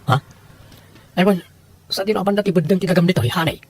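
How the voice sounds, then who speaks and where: A man playing a debt-ridden, evasive boyfriend in a Filipino audio drama, coaxing and hurried. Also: sits high, breathy edge. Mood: nosy, coaxing.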